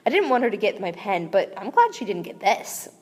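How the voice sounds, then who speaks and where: A woman's voice: medium pitch, sing-song, brisk, husky. A woman reading as a blunt, eager young girl wizard.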